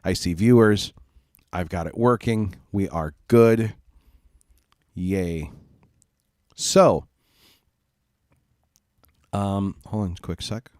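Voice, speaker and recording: Stuffy-nosed man, slow and low. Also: darkish tone, dry recording, studio quality. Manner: rambling and apologetic, many fillers and restarts. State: congested, stuffy nose from a cold. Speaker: streamer hosting a gaming stream. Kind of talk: casual live-stream chat. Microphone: home streaming mic.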